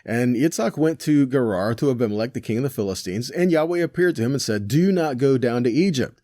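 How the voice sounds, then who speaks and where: A man's voice: mid-range voice, animated, fairly quick, warm tone, no room sound, studio quality. A man teaching a scripture lesson in a casual, conversational way, reading verses aloud and paraphrasing them with frequent rhetorical questions and "right?". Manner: rhetorical questions, self-corrections. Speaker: teacher of a weekly Torah portion.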